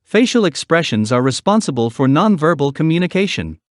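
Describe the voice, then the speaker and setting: A man reading a scripted practice passage aloud in a measured, clearly enunciated way. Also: a little high, very animated, no room sound, clean studio sound. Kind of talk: scripted read-aloud narration for exam practice.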